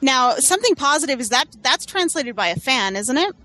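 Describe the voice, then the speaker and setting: A woman's voice: high voice, very animated, brisk, pushed and bright. A woman chatting informally and jokingly as a comic creator on a panel. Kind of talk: casual chat.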